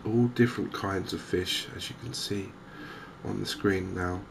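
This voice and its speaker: A man giving softly spoken, gentle ASMR game commentary in an unhurried, hesitant way. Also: low voice, fairly even intonation, vocal fry, background noise. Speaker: host of a cozy gaming channel.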